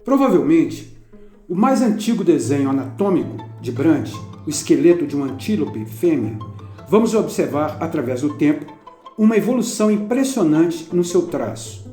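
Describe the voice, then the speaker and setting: A man narrating a documentary from a script in a measured, even storytelling manner. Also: fairly high pitch. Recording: roomy narration over soft instrumental music.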